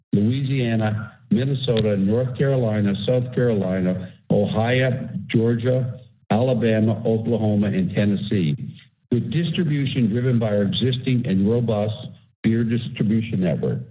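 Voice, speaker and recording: A man in the role of a company executive, formally reading prepared remarks in a scripted earnings presentation. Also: medium pitch. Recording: phone-like conference call webcast audio.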